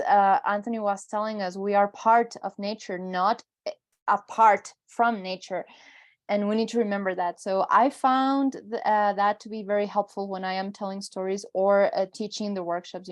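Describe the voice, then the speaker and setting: A woman speaking conversationally as a panelist who teaches workshops. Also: mid-range voice.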